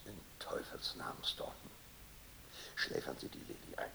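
An actor playing a gruff, commanding submarine captain in a German radio play, with a gravelly, raspy voice and blustering delivery. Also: male voice, normal speed, bright, forceful tone, slight background noise, low-quality recording. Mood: muttering. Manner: commanding, rhetorical, swinging from bark to mutter.

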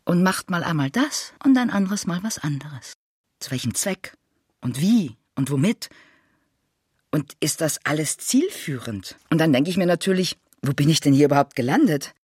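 A woman playing a restless, self-doubting performer who muses aloud in a halting, ironic, conversational radio monologue. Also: low-pitched, expressive, darkish tone, husky, no room sound, studio quality. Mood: ironic, puzzled. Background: music.

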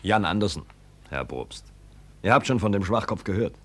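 Pompous farmer, swooping intonation. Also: male voice, on the low side, animated, normal speed. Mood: scornful. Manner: self-important, theatrical. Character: pompous, scornful farmer hosting a family birthday. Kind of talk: 1950 radio play acting.

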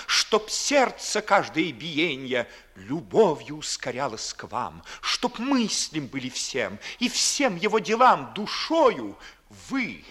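An actor playing a lovesick, sharp-witted young nobleman in a 1950s Russian verse radio play, with declamatory, theatrical delivery. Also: fairly high pitch, breathy edge, heavily acted. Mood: passionate. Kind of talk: 1950s Russian classical stage acting in verse.

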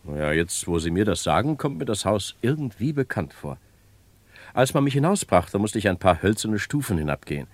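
A deep-voiced polite kidnapping victim. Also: male voice, sing-song, a little fast, dry recording. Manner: polite, reflective. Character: kidnapped wealthy man, polite witness. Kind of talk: vintage radio drama acting.

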